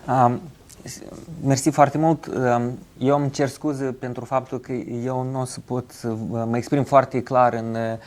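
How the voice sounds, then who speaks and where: A man speaking as a studio guest from an advocacy organization, slow and halting with many fillers. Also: mid-range voice, level tone, darkish tone. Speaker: studio guest, NGO representative. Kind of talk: studio discussion. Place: television studio.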